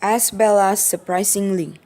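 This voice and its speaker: A woman's voice: medium pitch, a little slow, mellow. A woman narrating an amateur radio play from a script.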